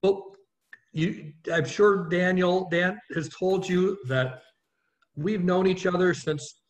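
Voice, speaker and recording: Slow-talking older man on a webcam call. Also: high-pitched. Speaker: friend and donor. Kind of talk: casual video call conversation.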